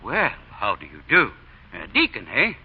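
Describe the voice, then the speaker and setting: An actor playing a self-important, suspicious small-town sheriff, folksy and officious, in old radio drama style. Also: male voice, lowish voice, wildly swooping pitch, normal speed, husky, heavily acted. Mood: probing, civil. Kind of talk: 1940s radio acting. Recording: muffled, faintly noisy old radio recording.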